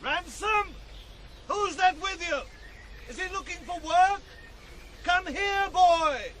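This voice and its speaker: An actor in a 1980s radio drama playing a rich, imperious employer who calls out in a peremptory way. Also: male voice, very high-pitched, slow, background noise. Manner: peremptory, calling out.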